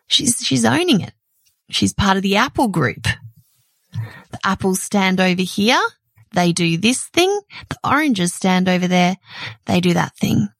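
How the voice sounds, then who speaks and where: A middle-aged woman hosting a solo podcast, talking in an animated, chatty way with a loud voice and frequent laughs. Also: medium pitch, creaky, no room sound. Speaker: podcast host.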